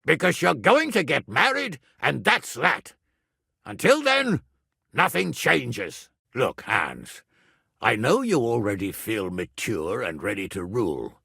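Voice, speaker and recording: A gruff middle-aged nobleman and guardian in a medieval video game. His voice is forceful and gravelly, and he takes his time over his words. He is blunt, earthy and used to giving orders. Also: mid-range voice, huge pitch swings, takes it slowly, dry recording, clean studio sound, exaggerated voice. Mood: weary, firm. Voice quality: gravelly, raspy. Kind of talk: dramatic video game dialogue.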